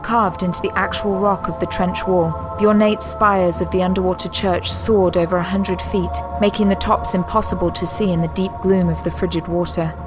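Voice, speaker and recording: A woman's voice: on the low side, fairly even intonation, normal speed, hardly any pauses. A woman reading scripted, dramatic story narration for a sci-fi audio drama. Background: music.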